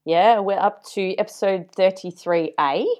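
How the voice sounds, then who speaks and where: A woman's voice: mid-range voice, unhurried, a little mellow, dry recording. A woman co-hosting a sports nutrition podcast, chatting casually and laughing often. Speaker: podcast co-host.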